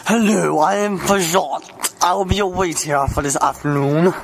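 A man playing an excitable, haughty French waiter with a French accent in an amateur radio comedy sketch. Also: fairly high pitch, normal speed, creaky, crowd noise. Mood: formal, servile.

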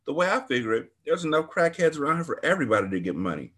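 This is a chatty young neighborhood woman, put on by a male reader. Her voice sits higher than his, a little husky, and she rushes her words with eager, gossipy energy. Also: big pitch swings, fairly bright tone, full character voice. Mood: excited. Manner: rambling, fast, worked up.

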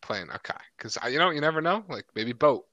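Lively, bright-voiced young male co-host. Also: medium pitch, brisk, no room sound, dull audio. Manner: joking, teasing, peppered with "like". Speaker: podcast co-host. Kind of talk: casual comedic interview.

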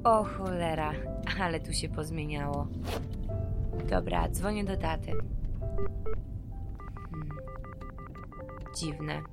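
A gravelly-voiced woman, weary and wounded, just out of prison. She speaks slowly in an audio drama, with music behind her. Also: low-pitched, animated, full character voice. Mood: resigned, hopeful. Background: background music.

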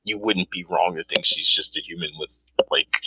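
The lively, sharp-voiced guy on a fan podcast who keeps cracking up while debating with his co-hosts, right up on a dry mic. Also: deep voice, moderate pace, breathy edge, dry recording, poor audio. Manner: jokey, laughs often. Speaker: podcast co-host. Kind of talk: casual fan discussion.

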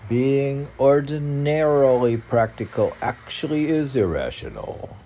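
Male poet for meditative verse reading; very unhurried, soft-edged dark tone, halting phrasing with emphatic lifts. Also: mid-range voice, animated, slight background noise. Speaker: poet reading own work. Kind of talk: recited spiritual poetry reading.

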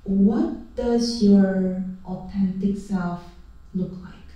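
A woman hosting a podcast interview, soft and hesitant, filling gaps with "mm", "like" and "um". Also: mid-range voice, fairly even intonation, very slow. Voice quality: soft, lots of "mm" and "like", hesitant phrasing. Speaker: podcast host. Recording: dull, echoey sound, far from the mic.

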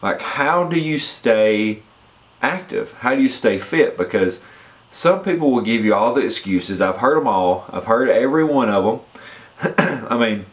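A man's voice: mid-range voice, lively intonation, measured pace. A man with a head cold, sounding congested, giving casual fitness advice in a chatty way and often checking in with the listener. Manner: chatty, repeats points and checks in with "okay?". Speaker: fitness vlogger. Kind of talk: casual advice talk to camera.

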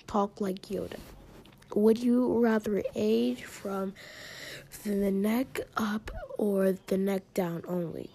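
A teenage girl with a high-pitched, mellow voice, chatting casually in a vlog. She takes her time and rambles a little. Her asides fade into soft near-whispers. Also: gravelly. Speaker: vlogger. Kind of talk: casual chat, reading out questions and answering them.

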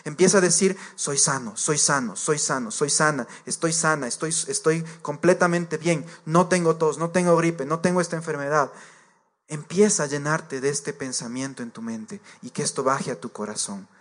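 A man's voice: fairly high pitch, normal speed. A man preaching a sermon to a congregation, earnest and direct, repeating his points and addressing the listeners as believers.

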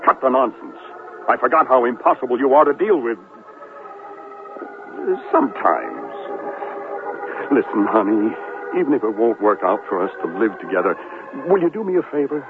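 An actor playing a boastful, manipulative TV reporter in a radio drama, cajoling and self-important. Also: male voice, medium pitch, huge pitch swings, moderate pace, vocal fry, long pauses. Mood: blunt, demanding. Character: boastful, manipulative male TV reporter pleading with his ex. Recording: tinny narrowband radio broadcast, music behind.

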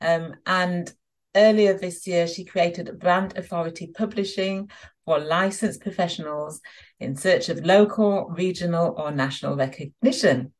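A woman hosting an interview in a conversational style, speaking in measured phrases with pauses mid-sentence. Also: lowish voice. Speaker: interview host. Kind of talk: conversational hosting, introducing a guest.

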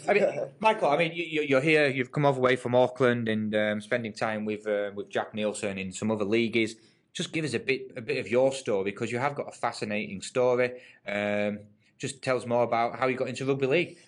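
A male host with a mid-range voice who chats easily with his guests. He teases them good-naturedly and keeps the conversation moving. He sounds relaxed and at ease. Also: medium pitch, fairly quick. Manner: jovial, teasing. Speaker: radio show host. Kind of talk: casual chat.

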